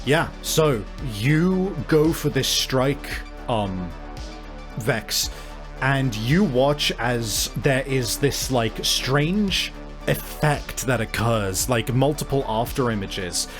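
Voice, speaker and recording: Man, tabletop game master. Unhurried, halting, casual narration over music. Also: medium pitch, expressive. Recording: music playing behind. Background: background music.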